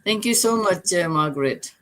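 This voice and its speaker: A woman's voice: on the low side, expressive, moderate pace, a little hiss or hum. An older retired woman from Fiji, speaking as a panelist in an online business talk in an earnest, motivational way.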